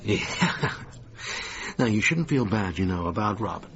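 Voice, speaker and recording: An actor playing a smooth, manipulative theatre manager and controlling lover in a naturalistic radio drama. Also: male voice, lowish voice, expressive, unhurried, fairly bright tone, highs cut off, heavily acted. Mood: upbeat, smug. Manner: cajoling, domineering, self-satisfied.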